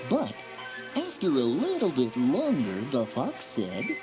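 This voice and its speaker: A man reads a children's story at an unhurried pace. His narration is expressive and dramatic, rising and falling widely. Music plays clearly behind him. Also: medium pitch.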